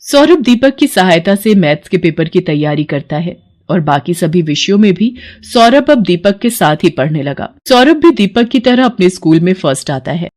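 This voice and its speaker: A woman's voice: fairly low pitch, sing-song, moderate pace, few pauses, darkish tone. A woman storyteller reading scripted narration for a children's moral story.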